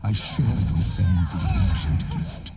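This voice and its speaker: Fantasy game elf elder: low, slow, sorrowful and grave, with swelling intonation, over music. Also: male voice, huge pitch swings, gravelly, exaggerated voice. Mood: mournful. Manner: grave, measured storytelling. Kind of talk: scripted fantasy game dialogue.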